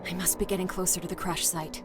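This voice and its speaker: A woman's voice: lowish voice, level tone, fast talker, natural voice. An actress playing a determined, wary woman scientist stranded on an alien world in a video game, often musing breathily to herself. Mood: somber, determined. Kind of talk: video game cutscene acting. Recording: music behind the voice.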